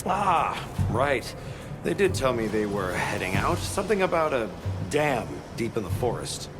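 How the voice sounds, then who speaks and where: A man's voice: mid-range voice, sing-song, unhurried, music in the background. A man playing an indifferent, absent-minded expedition member in a video game, offhand and laconic. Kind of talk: video game cutscene acting.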